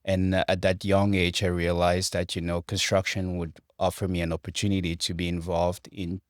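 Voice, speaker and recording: Man, from Cameroon, very low voice, reflective guest, dry close sound. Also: level tone, dry recording. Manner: measured, reflective, frequent restarts and fillers. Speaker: construction director, podcast guest. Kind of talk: interview conversation.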